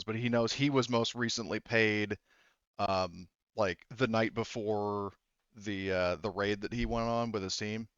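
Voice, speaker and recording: A man improvising as game master of a tabletop roleplaying game, narrating haltingly and relaying what characters say. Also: mid-range voice, a bit bright, clean tone, no echo, lo-fi sound. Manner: halting, speaks for characters in indirect speech.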